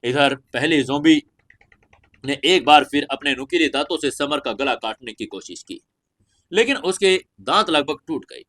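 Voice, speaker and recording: Male storyteller for an audio fantasy serial: dramatic scripted read, highish and very animated intonation. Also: moderate pace.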